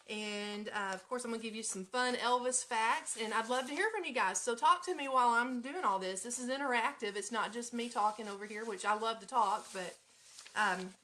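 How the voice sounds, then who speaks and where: A woman with a Southern US accent hosting a live show from home, chatting to listeners in a playful, folksy, expressive way. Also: fairly high pitch, slight background noise. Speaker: radio show host. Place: at home in an apartment.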